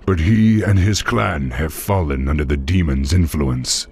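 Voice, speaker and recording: An actor voicing a noble, earnest young orc war chief in a video game, with a deep gravelly voice and commanding manner. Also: male voice, a little slow, a little mellow, slight background noise. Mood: somber.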